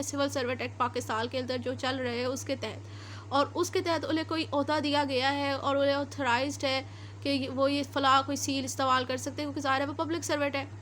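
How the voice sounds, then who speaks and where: A woman's voice: high-pitched, fairly bright tone, a little hiss or hum. A woman with a Pakistani accent giving an explanatory lecture as a law teacher, mixing two languages as she reads out and explains. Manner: explanatory, switches between Urdu and English. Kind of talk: lecture, reading statutes then explaining them.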